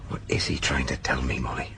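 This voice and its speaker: A tired policeman full of self-doubt in a radio crime drama. His voice is extremely low, and he speaks in halting, brooding murmurs. There is a faint noisy ambience behind him. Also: pushed and bright. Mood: troubled, reflective. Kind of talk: naturalistic radio drama dialogue.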